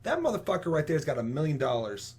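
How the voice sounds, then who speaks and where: A man's voice: fairly high pitch, wildly swooping pitch, fast, a little mellow, breathy edge. A man talking casually and bluntly about games as a podcast host, swearing freely. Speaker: video game podcast host.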